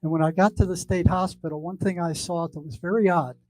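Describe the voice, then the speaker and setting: Older American man, higher-pitched, lecturing. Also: fairly even intonation, brisk, darkish tone, no room sound, rough recording. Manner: emphatic, opinionated, repeats points for stress. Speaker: speaker, former mental health worker. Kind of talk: informal lecture.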